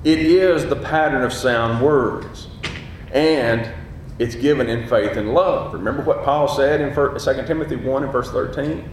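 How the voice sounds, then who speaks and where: A man with a gruff, booming voice preaches with passion, pushing hard on his key points. His voice rings through a very reverberant room, and a faint low rumble of noise sits underneath. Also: unhurried. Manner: passionate, emphatic preaching with rising peaks. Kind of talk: sermon. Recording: very echoey hall.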